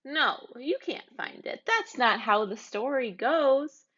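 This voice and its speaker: A woman's voice: high-pitched, lively intonation, slow, fairly bright tone, dull audio, heavily acted. A woman playing a pushy, exasperated storybook narrator who argues with the characters.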